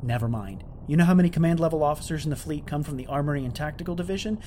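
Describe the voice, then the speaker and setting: A man's voice: a little high, lively intonation, racing, warm tone, natural voice. A man playing a competitive, sarcastic starship engineering lieutenant in an audio drama, argumentative and matter-of-fact. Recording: clear ship ambience noise behind.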